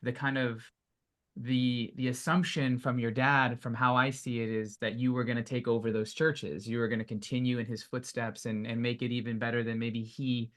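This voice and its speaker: Flat-toned male podcast host. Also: mid-range voice. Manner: measured, with frequent hedging fillers. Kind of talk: interview questions.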